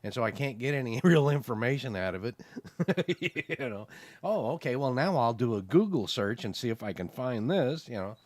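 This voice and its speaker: A man's voice: mid-range voice, lively intonation, normal speed, dry recording. A man co-hosting a podcast, chatting casually and rambling with lots of "you know" fillers. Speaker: podcast co-host. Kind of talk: casual chat.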